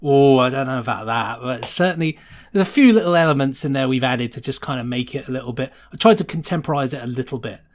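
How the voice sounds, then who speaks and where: A man's voice: medium pitch, quick pace. A man chatting casually and enthusiastically as an interview guest, often adding tag questions. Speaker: podcast guest, sound designer.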